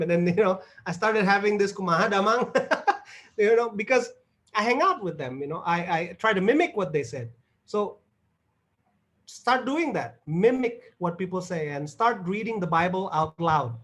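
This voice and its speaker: A man from Indonesia with a notably high-pitched voice speaks casually in an online group talk. He gives encouraging advice and repeats key phrases for emphasis. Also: a bit bright. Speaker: guest speaker giving language-learning advice.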